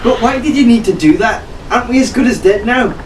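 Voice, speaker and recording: A man voicing a feisty, sharp-tongued young female sailor in an audiobook. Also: very high voice, fairly quick, voice pushed up. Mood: alarmed. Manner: pointed, snappy retorts. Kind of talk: audiobook character voice. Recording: roomy, noisy, distant microphone.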